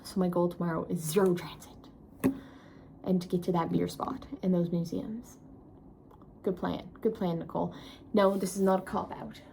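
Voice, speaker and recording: Chatty young woman, mellow voice. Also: fairly low pitch, little pitch movement, normal speed, vocal fry, long pauses, a little hiss or hum, rough recording. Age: 20s. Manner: chatty, self-mocking, talks to herself. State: tired. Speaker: travel vlogger. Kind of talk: casual vlog chat to camera.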